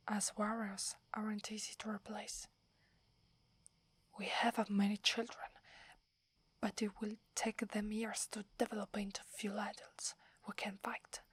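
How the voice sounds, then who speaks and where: A woman with an Italian accent playing a submissive, earnest female werewolf in a soft, breathy, hesitant ASMR whisper. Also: medium pitch. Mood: cautious, measured. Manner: hesitant, respectful, measured.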